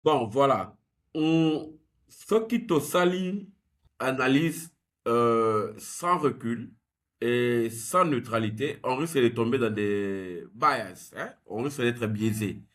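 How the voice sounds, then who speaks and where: A man, explaining history in a measured, analytical interview answer. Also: mid-range voice. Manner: measured and explanatory, mixing French with Lingala. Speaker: guest researcher.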